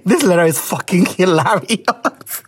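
A woman's voice: fairly low pitch, a little slow, slightly rough. A woman with a Ghanaian English accent hosting a podcast, reading out listener letters in a loose, swear-heavy, laughing way. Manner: laughs a lot, swears, animated reactions. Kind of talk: casual chat.

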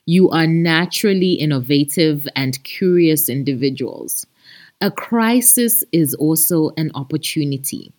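Unhurried Zambian woman hosting a podcast. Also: deep voice. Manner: expressive, addresses listeners familiarly as friends. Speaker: podcast host. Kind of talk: chatty podcast monologue with announcements.

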